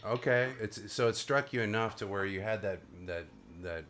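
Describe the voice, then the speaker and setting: A man's voice: on the low side, normal speed, a bit bright, slight background noise. A man hosting a casual panel chat over a video call, joking and rambling. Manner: jokey, rambling, self-mocking. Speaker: host of a panel show.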